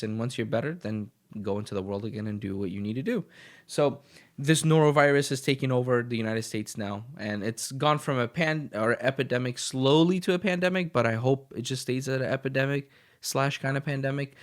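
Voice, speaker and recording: A man hosting his own podcast, talking casually and motivationally in a rambling way, a little sniffly as he gets over the flu. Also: mid-range voice. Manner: rambling and motivational, with frequent restarts. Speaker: podcast host. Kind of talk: casual solo podcast monologue.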